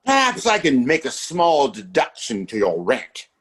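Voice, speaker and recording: A man playing a domineering, self-righteous father who runs a Western town, in an audio drama, with formal, imperious speech and a husky voice. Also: medium pitch, wildly swooping pitch, a little slow, heavily acted. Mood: smug, self-satisfied.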